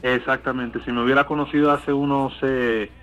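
A man's voice: medium pitch, little pitch movement, normal speed, a bit bright, dull audio, soft background music. Hesitant man with Cuban-Colombian accent. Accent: Cuban Spanish softened by Colombian. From Miami, USA. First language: English. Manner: hesitant, many fillers and restarts. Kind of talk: interview answers.

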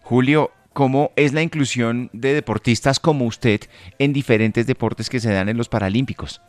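Man, radio interviewer, conversational presenting, average pitch, dry studio acoustics. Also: normal speed, no room sound, soft background music.

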